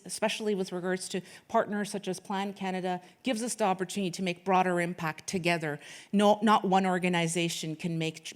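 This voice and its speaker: A woman in her late thirties, from Afghanistan, giving an earnest speech at an event with frequent restarts and fillers. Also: on the low side. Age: late 30s. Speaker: corporate sponsor speaker.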